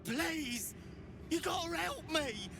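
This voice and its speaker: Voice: high voice, expressive, takes it slowly, gravelly, noisy background, exaggerated voice. An actor voicing a panicked, pleading goblin underling with coarse grammar in a video game. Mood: begging. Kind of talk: video game character acting. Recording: game audio with music behind.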